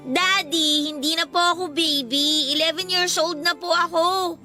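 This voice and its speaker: A sweet eleven-year-old girl in a radio drama, speaking high and brightly. She is polite and affectionate, with a pleading, childlike manner. Soft music plays behind her. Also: steady tone, unhurried, full character voice. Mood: happy, excited. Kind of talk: Filipino radio drama acting.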